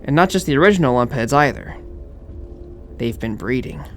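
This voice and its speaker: A man's voice: medium pitch, animated, normal speed, soft background music, close to an everyday voice. A man playing an eager, slightly dorky young fraternity pledge, earnestly telling a spooky legend in an audio drama. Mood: eager, ominous. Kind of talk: acted campfire-style legend telling in an audio horror drama.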